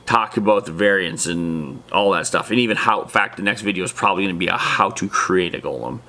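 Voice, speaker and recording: Male host, lower-pitched voice, informal and enthusiastic, for a loose, chatty hobby explainer. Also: bright, forceful tone. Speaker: host of a tabletop gaming lore video series. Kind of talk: casual explainer talk to viewers.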